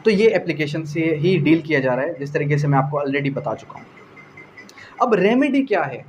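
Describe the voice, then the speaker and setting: A man's voice: fairly high pitch, expressive, moderate pace, muffled recording, a little hiss or hum. A man with a Pakistani accent giving an explanatory law lecture, didactic, posing questions and answering them, mixing in English terms. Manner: code-switching between Urdu and English legal terms. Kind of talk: explanatory exam-prep lecture.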